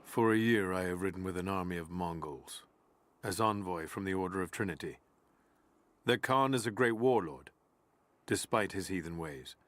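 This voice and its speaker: An actor playing a solemn, zealous medieval envoy of a religious order, narrating a journal in a grave, measured, formal way for a game. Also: male voice, low voice, fairly bright tone, a little hiss or hum.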